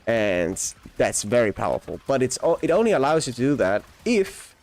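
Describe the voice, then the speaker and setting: A man's voice: mid-range voice, very animated, normal speed, darkish tone. Austrian-born man streaming a game. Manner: rambling, frequent restarts and self-corrections; enthusiastic, laughs often. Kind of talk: casual live-stream gameplay chat.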